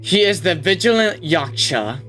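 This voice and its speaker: A woman with a fairly low voice hosts a video game playthrough. She comments and reads dialogue aloud, with soft music in the background. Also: animated, a little slow, poor audio. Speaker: gaming channel host.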